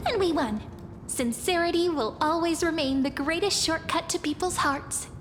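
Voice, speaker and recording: A woman's voice: very high-pitched, moderate pace. An actress voicing a confident, sincere girlish companion in a video game, earnest and breezy in her persuasion. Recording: echoey long reverb, music behind. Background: music.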